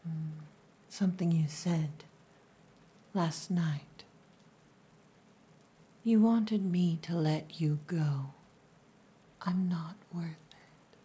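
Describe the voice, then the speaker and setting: Woman playing a slow, low, whispering possessive orc woman. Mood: grumpy, probing. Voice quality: breathy, hushed, often whispering. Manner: slow, deliberate, dominant, teasing. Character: possessive, gruff yet tender orc chieftain's daughter. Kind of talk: ASMR roleplay, whispered and softly spoken.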